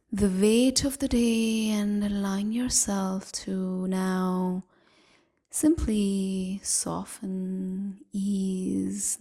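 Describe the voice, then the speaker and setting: A woman leading a guided meditation in a gentle, soothing, half-whispered voice, leaving long pauses between phrases. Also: medium pitch, gravelly. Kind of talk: guided evening body scan meditation.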